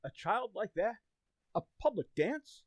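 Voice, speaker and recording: A performer acting a booming, indignant, blustering father in a radio comedy. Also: big pitch swings, a little slow, no room sound. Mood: outraged. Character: loud, outraged, blustering father Ed.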